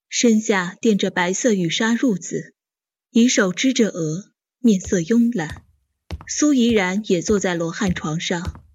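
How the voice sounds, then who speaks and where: A woman's voice: mid-range voice, a little mellow, lo-fi sound. A woman playing the composed storyteller, reading scripted narration for a Chinese period audio drama. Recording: clear music underneath.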